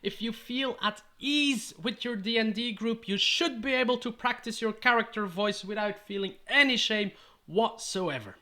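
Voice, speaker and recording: A man's voice: sits high, normal speed, sharp tone. A man in his thirties with a Dutch accent, a native Dutch speaker, giving upbeat, encouraging tips to camera from a small room, speaking into a mic on a stand. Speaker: YouTube host giving roleplaying tips. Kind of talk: scripted-sounding advice monologue to camera. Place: small indoor room with striped curtains. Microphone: studio mic on a stand in front of him.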